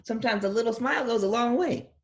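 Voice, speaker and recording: A woman reading a picture book aloud to young children in an expressive voice, pausing to chat casually about the illustrations. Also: on the high side. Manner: expressive, acts out sound words. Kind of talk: read-aloud storytelling with casual commentary.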